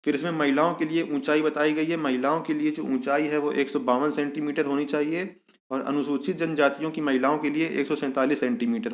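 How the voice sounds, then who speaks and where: A man giving an instructional walkthrough of an official notice, repeating key points for emphasis. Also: fairly high pitch. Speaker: exam information channel host. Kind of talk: explanatory walkthrough of a recruitment notice.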